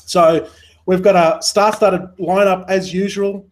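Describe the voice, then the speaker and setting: A man's voice: high voice, steady tone, takes it slowly. A man hosting a live webinar, talking loosely with lots of fillers and a friendly manner. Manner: rambling, many fillers, friendly. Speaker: webinar host.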